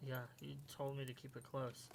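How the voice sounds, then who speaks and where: A man's voice: medium pitch, completely flat delivery, brisk, gravelly, lightly acted. A man playing an earnest, wistful companion in a fan audio drama.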